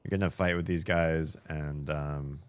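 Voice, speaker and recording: A young man giving casual, profane running commentary while playing a video game, his voice slightly hoarse. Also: extremely low pitch, steady tone, measured pace, no room sound. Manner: chatty, swears a lot, talks to himself while playing.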